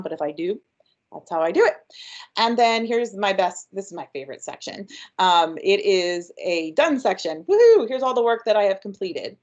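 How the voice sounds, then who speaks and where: A woman's voice: medium pitch, lo-fi sound. A woman giving an upbeat, chatty webinar presentation with frequent ums. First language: English. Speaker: workshop presenter.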